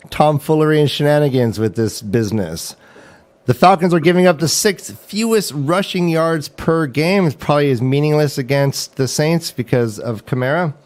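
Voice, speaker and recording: A man hosting a fantasy football livestream, chatting casually in a playful, joking way. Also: on the high side. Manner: jokey, playful. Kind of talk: casual chat, joking sports talk.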